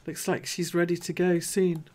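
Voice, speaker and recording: A woman with a deep voice, hosting a live market analysis show. She talks casually and thinks aloud as she goes. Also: little pitch movement, normal speed, a little mellow. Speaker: host.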